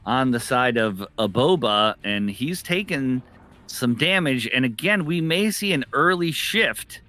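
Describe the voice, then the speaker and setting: Very bright-voiced man co-casting a game match. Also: medium pitch, animated, measured pace. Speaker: esports co-commentator. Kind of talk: live game commentary, conversational.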